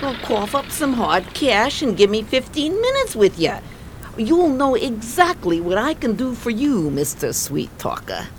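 A woman plays a streetwise young working girl of 1920s New York in a radio play. She is brassy and wary, taking her time with very expressive swings of pitch, over faint noise. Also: unhurried. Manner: streetwise, brusque slang. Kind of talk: radio drama acting, 1920s period piece.

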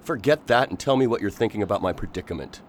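An actor playing an earnest, agitated Victorian gentleman in a radio drama, pleading and intense. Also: fairly low pitch, lively intonation, fast talker, slight background noise, lightly acted.

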